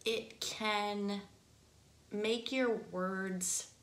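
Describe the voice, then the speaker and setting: A woman's voice: mid-range voice, steady tone, very slow, a bit bright. A woman from the northern United States with an Upper Midwest accent and a nasal voice, chatting casually as a singing teacher and laughing easily. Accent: Upper Midwest American. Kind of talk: casual instructional talk to camera. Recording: echoey room.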